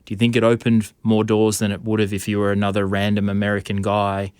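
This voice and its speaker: A man's voice: fairly low pitch, completely flat delivery, fast talker, a little mellow, clear, resonant tone, dry recording. A man from Australia, hosting a podcast and asking long, thoughtful questions with encouraging short agreements. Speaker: podcast host. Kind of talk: interview questions.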